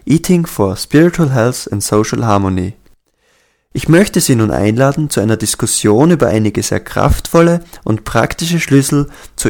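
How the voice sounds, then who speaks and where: A man reads a translated book aloud as an audiobook, calm and earnest. His voice sits at an ordinary middle pitch, and he keeps a steady, measured pace. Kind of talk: scripted audiobook reading.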